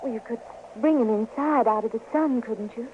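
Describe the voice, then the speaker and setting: A woman's voice: on the high side, moderate pace, close to an everyday voice. A woman playing a prim, earnest, morally insistent lady stagecoach passenger in an old-time radio western. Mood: indignant. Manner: polite, principled, insistent. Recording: tinny, noisy old radio recording.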